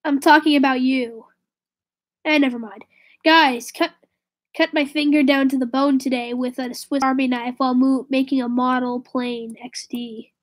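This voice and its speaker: A woman live streaming and chatting casually with viewers, slightly raspy with a stuffy nose from a cold, rambling and correcting herself. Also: high voice, steady tone, moderate pace. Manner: rambling, self-correcting, drifts to a whisper.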